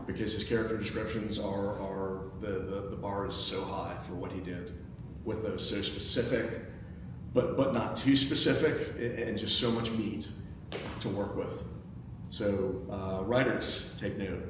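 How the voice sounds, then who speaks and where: Echoey, distant American man, halting talker. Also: lowish voice, steady tone. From Chicago, United States. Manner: halting, repeats words. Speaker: host, screenwriter. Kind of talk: casual panel discussion. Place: echoey indoor room at a table read event. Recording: echoey room, distant mic, clear background noise.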